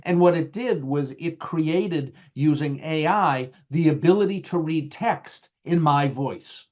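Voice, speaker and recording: A man's voice: fairly high pitch, takes it slowly, darkish tone. A middle-aged man with a Boston accent presenting a webinar in a loose, conversational way, full of fillers and restarts. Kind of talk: webinar talk with slides. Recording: dull lo-fi webinar audio.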